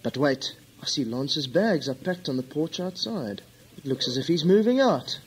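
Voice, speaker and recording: A man's voice: a little high, expressive, normal speed, breathy edge, rough recording. A man playing a nervous, weak-willed accomplice in a melodramatic radio-play parody, with hesitant delivery. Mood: earnest.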